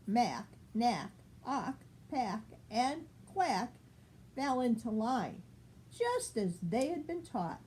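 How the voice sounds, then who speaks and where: Animated older woman reading a picture book. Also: on the high side, a little hiss or hum, low-quality recording. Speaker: grandmother reading aloud. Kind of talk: children's picture-book storytelling.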